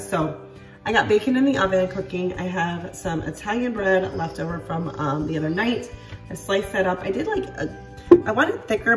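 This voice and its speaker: A congested woman in her thirties chats her way through a home-cooked dinner, with lots of fillers and little apologies. Her voice sits a bit low, with soft music playing underneath. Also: far from the mic. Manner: chatty, self-deprecating, lots of fillers. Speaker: home cooking vlogger. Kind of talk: casual chatty cooking narration. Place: home kitchen and outdoor patio.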